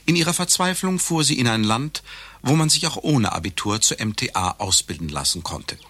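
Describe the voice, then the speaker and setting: An actor narrating a satirical radio play as a matter-of-fact storyteller with a husky voice. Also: male voice, mid-range voice, animated, normal speed, a bit bright, no echo.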